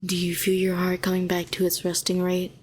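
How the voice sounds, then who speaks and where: Woman acting a low-voiced, sardonic yet protective villainess. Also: steady tone, measured pace, close to an everyday voice. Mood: soothing, tender. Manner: sharp and sardonic, turning soothing and coaxing. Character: telepathic female villain, former hero, sardonic yet tenderly protective. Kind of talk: intimate scripted audio roleplay.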